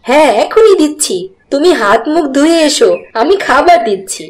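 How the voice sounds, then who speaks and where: A woman playing a caring village wife in a fairy-tale cartoon, with a teasing, gently nagging delivery. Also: high voice, very animated, moderate pace.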